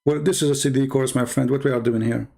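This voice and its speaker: A middle-aged man from the Middle East, a native Arabic speaker, lecturing on a live stream. His voice has a gravelly, creaky edge at a middling pitch. He hammers his points home with repetition and rhetorical questions. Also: steady tone, quick pace, warm tone.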